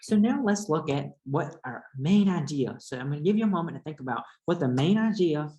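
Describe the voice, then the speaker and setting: A man's voice: a little high, animated, fairly quick, mellow. A man speaking as a teacher in a read-aloud lesson, explaining and questioning for young pupils. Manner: instructive, addressing young pupils. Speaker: teacher reading a picture book aloud. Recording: echoey room.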